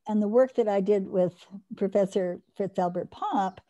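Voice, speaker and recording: Mellow older woman teaching on a call. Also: mid-range voice, moderate pace. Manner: eager, explaining, with frequent restarts. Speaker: instructor hosting an online course. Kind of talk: teaching and taking questions on a video call. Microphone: video call.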